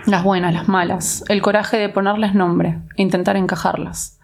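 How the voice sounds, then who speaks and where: A woman in a writing workshop introducing and reading her own untitled poem, slow and deliberate. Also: lowish voice, a little mellow.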